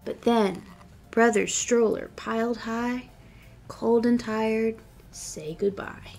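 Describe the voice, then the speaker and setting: A woman's voice: on the high side, steady tone, takes it slowly, gravelly, darkish tone, soft background music. A young adult woman reading picture books aloud as a children's librarian, encouraging and speaking directly to young listeners. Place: indoor library room with bookshelves.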